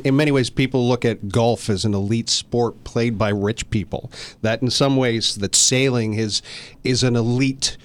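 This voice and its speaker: A man in a mid-range voice hosts a radio show from a studio. He asks his questions conversationally, often restarting a phrase before finishing it. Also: mid-range voice. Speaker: radio host. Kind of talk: radio interview.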